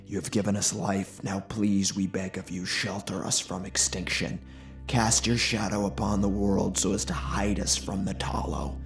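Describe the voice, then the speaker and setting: A man voicing a weary game character who prays in a soft, hushed, reverent voice in a horror audiobook. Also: sits low, flat delivery, echoey room, soft background music. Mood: solemn pleading. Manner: slow, reverent.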